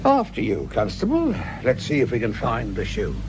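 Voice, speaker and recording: Wry British sergeant, creaky and animated. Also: male voice, mid-range voice, normal speed, heavy background noise. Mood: sardonic, weary. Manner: sardonic, grumbling, jokey world-weary asides. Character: wry, grumbling, corner-cutting British police sergeant. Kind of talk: radio drama acting.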